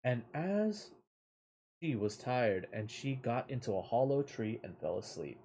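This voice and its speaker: Slow-reading man telling a fairy tale. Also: medium pitch, very animated, mellow, a little hiss or hum. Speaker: amateur storyteller, streamer.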